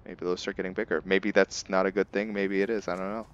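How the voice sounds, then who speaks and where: A man with a very low voice talks through a roleplaying game in a casual, hesitant way, thinking aloud about his character. The recording is slightly dull and lo-fi. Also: flat delivery, fast, slight background noise. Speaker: gaming streamer and roleplayer. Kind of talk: casual roleplay gameplay commentary.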